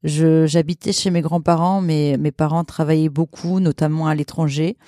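A woman's voice: deep voice, fairly even intonation, normal speed, dark tone, no echo, clean studio sound. A woman in her thirties from Portugal, a podcast guest chatting casually with many hesitations and frequent laughter. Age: 30s. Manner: chatty, many fillers and restarts, laughs often. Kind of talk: casual conversational storytelling.

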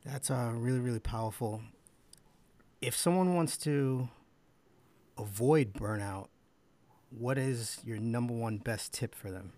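A man hosting a podcast interview, asking short open questions in a measured way. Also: medium pitch, warm tone. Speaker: podcast host.

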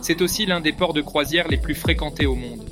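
A man's voice: on the high side, fairly quick. Man reading facts over music. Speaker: segment presenter. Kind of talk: scripted fact narration.

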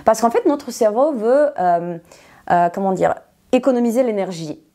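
Mellow-voiced teacher, woman. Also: on the high side, animated, normal speed. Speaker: non-native French teacher, interview guest. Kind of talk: conversational explanation in an interview.

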